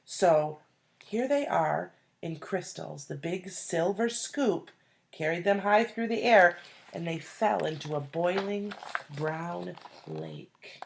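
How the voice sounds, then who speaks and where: A woman reading a children's storybook aloud like a teacher, deliberately, pausing to explain and put questions to young listeners. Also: fairly low pitch, expressive, creaky. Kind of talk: expressive storybook read-aloud with asides and questions.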